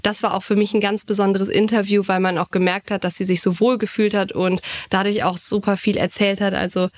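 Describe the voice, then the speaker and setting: A woman's voice: medium pitch, little pitch movement, brisk. A woman co-hosting a podcast, speaking articulately and appreciatively in casual conversation. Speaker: podcast co-host.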